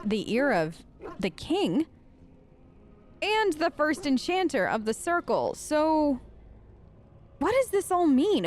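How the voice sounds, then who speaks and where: A woman's voice: fairly high pitch, darkish tone. A woman doing role-play let's play commentary, chatty and curious, often tentative as she reacts in character. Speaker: gaming streamer.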